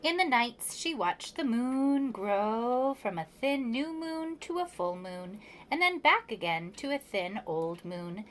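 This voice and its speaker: Female preschool storyteller, lightly high voice, very slow expressive read-aloud, noisy ambient recording. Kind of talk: reading a children's picture book aloud.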